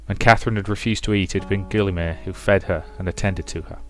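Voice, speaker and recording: Male story narrator for a let's play: low voice, dramatic scripted read, music underneath. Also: fairly even intonation, quick pace, a bit bright.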